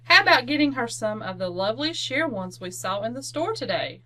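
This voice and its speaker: A woman playing a helpful aunt who gives crisp, reassuring, instructive advice in an old-time radio commercial. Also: fairly high pitch, very animated, brisk, pushed and bright. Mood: helpful, cheerful. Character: helpful, reassuring aunt selling laundry soap.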